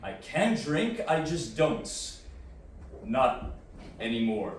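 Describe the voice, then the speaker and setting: In a heavily reverberant, distant recording with faint noise, a man acts out an aloof post-human warrior for a sci-fi audio drama. He is coldly intense and deliberate, with halting phrases that range widely in pitch. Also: very animated. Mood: terse, guarded.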